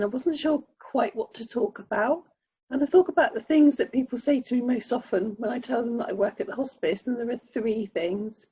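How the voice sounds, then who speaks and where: Female ward manager presenting with a cold; high-ish, dark, very husky voice, dry recording. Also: no echo, poor audio. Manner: nervous but clear. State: has a cold. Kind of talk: prepared presentation. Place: online workshop.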